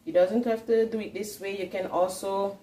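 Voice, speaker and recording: A woman's voice: medium pitch, steady tone, moderate pace, dark tone. A woman hosting a cooking vlog, giving a casual recipe demonstration in a home kitchen. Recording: roomy kitchen echo with faint hiss.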